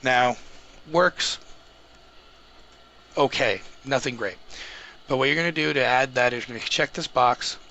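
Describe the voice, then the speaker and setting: A man talking viewers through a software setup step by step in an informal, explanatory way. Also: medium pitch, measured pace, long pauses. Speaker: host of a tech tutorial. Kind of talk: casual step-by-step screen tutorial. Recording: clearly noisy home microphone recording.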